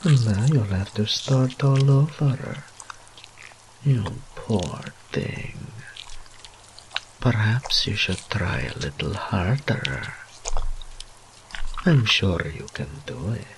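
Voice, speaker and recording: A man playing a seductive, teasing, dominant demon incubus in an intimate audio roleplay, breathy and often whispering, drawing out his taunts. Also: fairly low pitch, expressive, noisy background, heavily acted. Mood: mock pity. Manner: slow, drawn-out, mock-sympathetic purring taunts.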